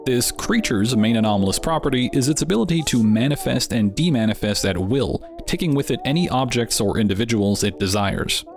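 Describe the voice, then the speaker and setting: A man's voice: mid-range voice, lively intonation, fairly quick, hardly any pauses. A man hosting a channel, giving scripted narration with wry, dryly joking asides. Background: background music.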